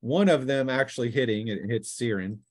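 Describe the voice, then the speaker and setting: Male game master, mid-pitched, explaining rules and describing scenes with a halting, improvised delivery. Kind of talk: improvised tabletop game narration.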